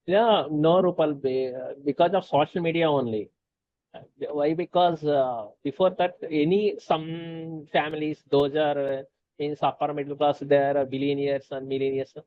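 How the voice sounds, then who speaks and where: Halting Telugu-speaking man, fairly high voice. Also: steady tone. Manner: halting, many fillers and restarts. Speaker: conversation guest. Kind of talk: casual conversation. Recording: dull lo-fi sound.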